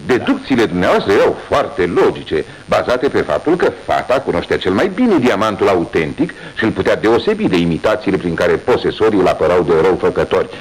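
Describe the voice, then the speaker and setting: An actor playing a polite, deferential police inspector in a 1980s studio radio drama, speaking courteously and jovially. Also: male voice, deep voice, very animated, few pauses, husky, exaggerated voice, low-quality recording. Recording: old radio recording with faint hiss.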